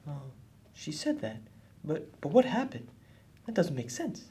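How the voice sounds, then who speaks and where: A woman voicing an earnest, husky boy puppet who explains things helpfully in a children's puppet show. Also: low-pitched, sing-song, measured pace, warm tone, exaggerated voice. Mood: concerned.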